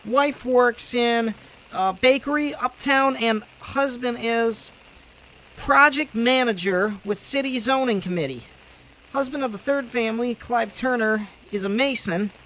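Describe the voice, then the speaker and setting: Eager, very high-voiced rookie cop. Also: male voice, a little slow, sharp tone, exaggerated voice, rough recording. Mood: earnest. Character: eager young rookie police detective, earnest. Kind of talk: old time radio drama acting.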